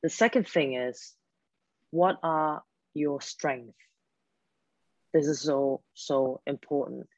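A woman's voice: low-pitched, slow pace, long pauses, a little mellow, dry recording. A woman whose first language is German, a career coach talking earnestly in an interview and often restarting her words. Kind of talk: interview guest explaining advice. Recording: dull, dry lo-fi sound.

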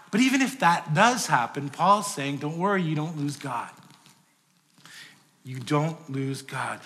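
Male pastor, conversational sermon delivery, slightly high voice, reverberant church hall. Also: bright, forceful tone. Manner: conversational preaching, dynamic swings in volume.

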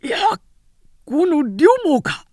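A woman's voice: high-pitched, animated, takes it slowly, warm tone, no echo, studio quality, voice pushed up. Woman doing a fierce, urgent battlefield general. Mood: alarmed. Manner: barking, exclamatory battle commands. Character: embattled male army general, fierce and urgent.

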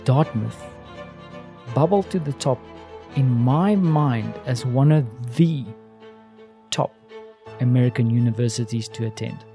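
Gravelly male podcast host over music. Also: mid-range voice, a little slow, pauses often, dark tone. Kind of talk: scripted podcast narration. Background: music with strings under the voice.

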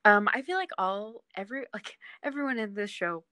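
A woman's voice: mid-range voice, a little fast, bright, forceful tone, a little hiss or hum. A young woman hosting a podcast, steering a casual chat about television in an informal, slangy, agreeable way. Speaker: podcast host guiding the discussion. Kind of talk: casual TV discussion.

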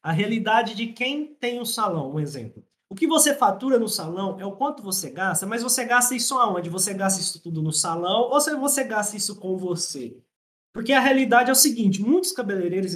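A man's voice: high-pitched, expressive, fairly quick. A man from Minas Gerais with a mild Mineiro accent, a podcast guest telling stories and explaining salon finances, repeating his points for emphasis. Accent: mild Mineiro Brazilian Portuguese. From Minas Gerais, Brazil. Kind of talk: casual podcast conversation, explaining.

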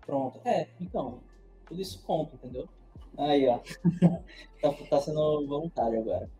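A man's voice: fairly high pitch, animated, normal speed, mellow, faint music behind, low-quality recording. A young man, co-hosting a casual podcast chat and explaining things in loose, meandering sentences with many restarts. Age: young adult. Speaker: co-host.

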